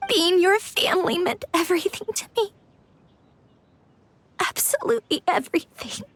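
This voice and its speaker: High female voice, bashful proud young captain, reserved, brusque, swooping intonation, game dialogue. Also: animated, moderate pace, a bit bright, dry recording. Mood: tender, grieving. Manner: reserved, brusque, reluctant to show feelings. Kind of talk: video game voice acting.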